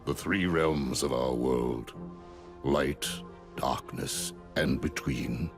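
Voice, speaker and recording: An actor playing a grave, wise old male sorcerer in a video game, solemn and deliberate. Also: very deep voice, over music, exaggerated voice.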